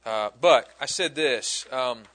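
A middle-aged Southern-raised man leads a classroom session in a bright, forward voice. He talks in a loose, friendly way and drifts into side stories with his students. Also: medium pitch, slow pace. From American South. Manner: chatty, friendly, rambling asides. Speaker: instructor. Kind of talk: classroom talk.

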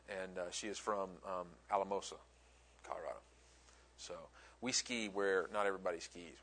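A man's voice: fairly low pitch, fairly bright tone, husky. A middle-aged man from the American South teaching a class, chatty and friendly, wandering into asides. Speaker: instructor. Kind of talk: classroom talk.

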